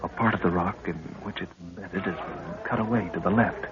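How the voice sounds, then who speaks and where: A man's voice: low voice, steady tone, brisk, natural voice. A man acting a loyal, protective young adventurer in a 1930s radio serial, earnest and respectful. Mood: tense, earnest. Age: young adult. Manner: earnest, deferential, reassuring. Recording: muffled, hissy 1930s radio recording.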